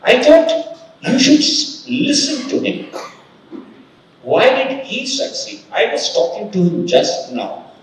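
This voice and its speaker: A man introducing the next speaker at a corporate summit, in an emphatic, animated way. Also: high-pitched, takes it slowly, slight background noise. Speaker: event host introducing the speaker. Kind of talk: motivational introduction speech. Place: large conference hall. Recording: echoey hall, voice from a distance.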